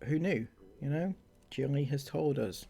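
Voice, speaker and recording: A man's voice: medium pitch, animated, gravelly, dark tone, slightly rough. A man hosting a commentary channel, reading viewer comments aloud and mocking them, with frequent laughs and sarcasm. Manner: sarcastic, laughs often, drawn-out delivery.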